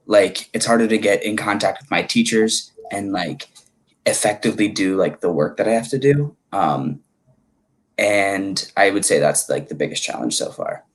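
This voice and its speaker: Low-voiced young male college student. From Connecticut. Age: 20s. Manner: casual, heavy use of "like". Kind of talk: casual chat.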